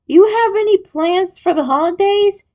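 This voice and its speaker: A woman's voice: very high voice, normal speed, warm tone, muffled recording, voice pushed up. A woman voicing a sweet, playful and affectionate young male turtle in a read-aloud story. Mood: curious, cheerful. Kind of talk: story character dialogue.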